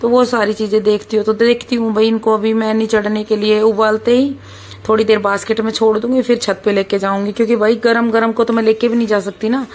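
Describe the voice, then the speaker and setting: Hoarse chatty woman vlogger. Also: a little high, level tone, brisk, a little mellow. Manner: chatty, keeps saying "bhai", talks while cooking. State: hoarse, sore throat. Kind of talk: casual vlog chat.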